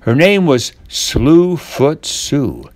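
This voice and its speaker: An older man reads a tall tale as a bedtime story, expressive and deliberate, drawing out key words. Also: a little high, dry recording. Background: guitar music.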